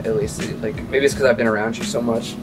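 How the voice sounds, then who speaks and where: A man's voice: mid-range voice, fairly even intonation, fast, very noisy. An American man with a slight Southern accent chatting casually, asking curious questions. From United States. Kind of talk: casual chat. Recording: loud music behind.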